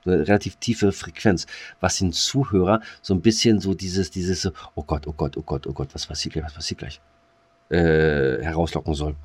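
A man's voice: deep voice, steady tone, normal speed, darkish tone, husky, dry recording. A man co-hosting a podcast in casual chat, rambling with many fillers and restarts. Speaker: podcast co-host.

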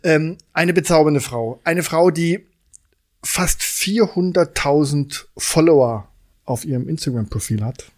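A man with a slightly high voice hosts a podcast. He banters in a playful, effusive way. He teases his co-host and guest. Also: a little slow, a little mellow.

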